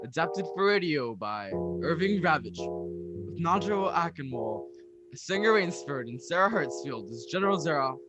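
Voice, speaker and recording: A man's voice: fairly high pitch, very animated, normal speed, lo-fi sound. A man playing an emphatic, theatrical announcer in a 1940s radio style, urgent and grand. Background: piano music.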